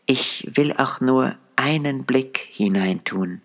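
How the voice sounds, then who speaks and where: A man's voice: medium pitch, takes it slowly, fairly bright tone, pitched above the performer's own voice. A frightened young wife from a storybook, played by a male narrator. The voice is lifted well above his own, anxious and pleading.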